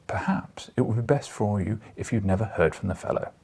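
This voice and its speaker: A man voicing a gruff, sceptical bacteriologist in an audiobook, dry and blunt in manner. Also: on the low side, animated, fairly quick, darkish tone, slight background noise. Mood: wry warning. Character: gruff, sceptical male bacteriologist friend at a newspaper. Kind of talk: audiobook character dialogue.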